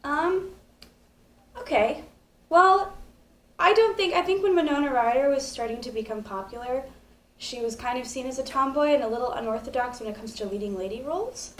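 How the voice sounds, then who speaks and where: Airy, light-voiced actress; slightly high voice, chatty and giggly, roomy living room echo with faint hum behind. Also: female voice, moderate pace. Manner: giggly, chatty, rambling with fillers. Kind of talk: casual live stream chat.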